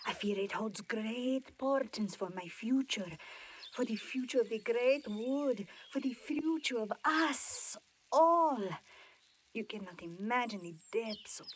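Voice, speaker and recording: Casting: melodramatic woodland lady female actor, unhurried and grandiose, dreamy, doom-laden storybook delivery. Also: a little high, animated, a little hiss or hum. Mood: ominous, dramatic. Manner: theatrical, grandiose, drawn-out phrasing. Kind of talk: audio drama storybook acting.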